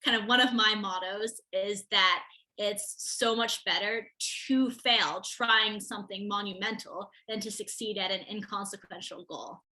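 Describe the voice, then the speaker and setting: An eager teenage girl answers interview questions in a very bright, clear voice. She speaks articulately and keeps a lively, enthusiastic tone, laughing now and then. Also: mid-range voice, measured pace, low-quality recording. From America, Connecticut. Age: teens. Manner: eager, articulate, laughs while telling stories. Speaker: interview guest, student.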